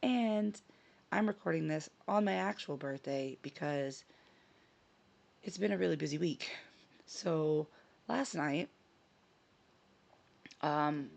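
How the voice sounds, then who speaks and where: A woman hosting her own vlog in casual chat, a little hoarse from a scratchy throat, talking with frequent ums and self-corrections. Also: deep voice, slow pace, long pauses, darkish tone. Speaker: channel host. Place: her home in Sicily.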